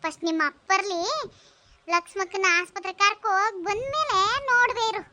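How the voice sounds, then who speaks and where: A woman's voice: very high-pitched, fairly quick, pushed and bright, slight background noise, full character voice. A woman playing a bossy, loyal village neighbour in a rural melodrama, full of exclamations and scolding. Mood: indignant.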